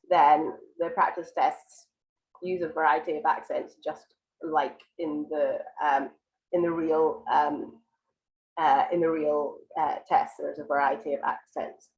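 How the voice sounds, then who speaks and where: A woman teaching an online IELTS writing lesson, croaky and congested from a cold, explaining patiently with many ums and restarts. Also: sits low, steady tone, measured pace, muffled recording. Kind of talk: live online lesson, answering viewer questions.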